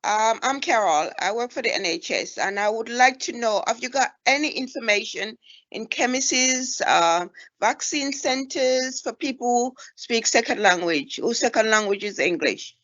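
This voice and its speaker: Higher-voiced woman asking a direct question. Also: expressive, measured pace, a bit bright. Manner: direct, brief. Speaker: NHS worker asking a question. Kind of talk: asking a question in an online meeting. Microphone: video call. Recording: muffled video call audio.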